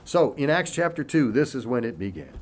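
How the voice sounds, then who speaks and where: A man teaching as a pastor in a relaxed, conversational way, reading scripture aloud between informal asides and frequent "you know" fillers. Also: medium pitch, a little fast.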